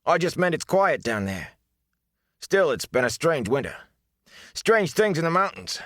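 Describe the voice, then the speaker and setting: Man voicing a gruff mushy-mouthed attendant, blurts things out, raised pitch. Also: sing-song, a little slow, a bit bright, no room sound, clean studio sound. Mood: blurting. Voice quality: mumbly, as if with a mouthful of mush. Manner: abrupt, scornful. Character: gruff young male bathhouse attendant, curt, blurting.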